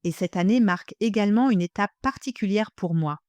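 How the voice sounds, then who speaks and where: An older woman from Germany, a native German speaker, reads scripted podcast narration as host, in a measured, reflective manner, addressing the listener directly. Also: medium pitch, a little mellow, dry recording. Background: music.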